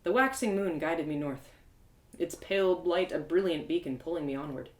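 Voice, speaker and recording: A woman reading a story live in an expressive, theatrical way, as host and narrator. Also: low-pitched, normal speed. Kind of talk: animated first-person storybook narration. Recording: echoey room.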